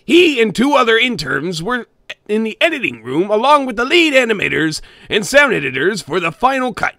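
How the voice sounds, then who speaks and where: A man voicing a gruff, greedy old cartoon sea-crab boss, gravelly and theatrical, narrating a comic scary story in character. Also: high-pitched, big pitch swings, moderate pace, sharp tone, dry recording, studio quality, heavily acted. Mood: wry, grumbling. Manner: theatrical, with grumbling asides and barking chuckles.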